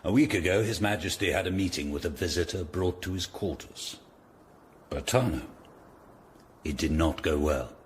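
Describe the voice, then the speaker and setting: An actor playing a shrewd, commanding older Tudor lord in a radio drama, with an English accent and an authoritative, deliberate, aristocratic delivery. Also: male voice, deep voice, slight background noise.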